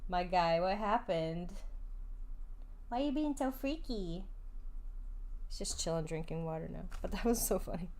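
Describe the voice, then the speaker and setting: Young woman, giggly, chatty, lively pitch, unhurried, casual game commentary. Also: slight background noise. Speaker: streamer.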